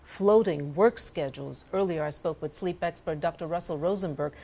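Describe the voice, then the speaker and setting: A woman's voice: low voice, normal speed, warm tone. A woman presenting the news and putting interview questions in a broadcast style.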